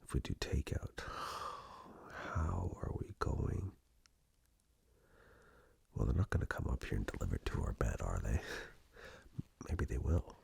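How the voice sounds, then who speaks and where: Very deep, gravelly whispering man. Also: very animated, dry recording. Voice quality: breathy, gravelly. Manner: soft, unhurried, flirtatious, playful. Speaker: ASMR roleplay performer. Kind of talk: whispered intimate ASMR roleplay as a romantic partner. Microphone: close microphone.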